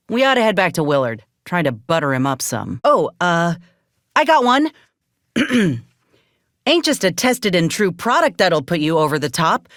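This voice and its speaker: An actress playing a plucky, principled ex-soldier turned freelancer in a space-frontier video game, with plainspoken, folksy phrasing. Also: female voice, on the low side, no room sound, studio quality. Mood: frustrated. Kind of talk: video game character acting.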